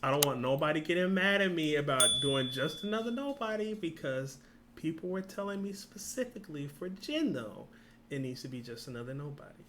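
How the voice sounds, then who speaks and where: A man hosting a reaction video, talking casually and excitedly, rambling when worked up. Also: fairly high pitch, brisk, noisy background.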